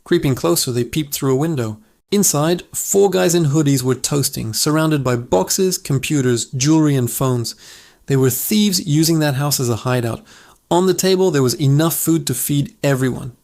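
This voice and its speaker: A man reading a children's fable aloud in calm, even scripted narration. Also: a little high, normal speed, vocal fry.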